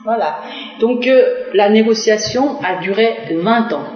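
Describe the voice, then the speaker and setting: A middle-aged woman from Korea speaks, answering a TV interviewer as a diplomat. She pauses often, fills gaps with "euh" and restarts her phrases. The sound is roomy and dull, like an old lo-fi broadcast. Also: on the high side, expressive. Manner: hesitant, many fillers and restarts.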